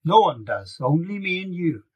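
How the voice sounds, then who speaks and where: A man's voice: on the high side, big pitch swings, slightly rough. A man voicing an affectionate old grandfather, firm and reassuring, in an audiobook reading. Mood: earnest, insistent. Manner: reassuring, emphatic, deliberate. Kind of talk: acted dialogue in an audiobook reading.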